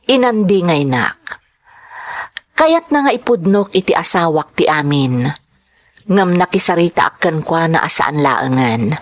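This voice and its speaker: A woman narrating a scripted drama from a letter, with expressive, measured storytelling. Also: low voice.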